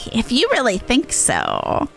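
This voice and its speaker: A woman livestreaming a game, giving casual, playful and expressive commentary with some swearing. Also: a little high, slow pace, creaky, soft background music. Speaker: game streamer.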